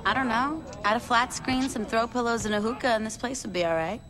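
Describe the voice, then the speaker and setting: A woman's voice: fairly high pitch, brisk, close to an everyday voice. A woman voicing a young, casual gang recruit in a video game cutscene. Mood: offhand.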